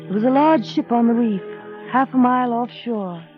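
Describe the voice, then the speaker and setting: A muffled vintage broadcast with music under it carries an actress as a sensitive young wife telling her story. Her narration is hushed, literary and dramatic, in 1930s radio style. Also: on the high side, normal speed, lightly acted. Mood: haunted, reflective.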